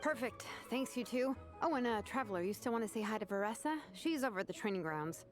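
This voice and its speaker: An actress playing a laid-back, dryly sardonic young vice captain in a video game, talking in a casual, wry way. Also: female voice, a little high, animated, fast, soft background music, natural voice. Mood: easygoing.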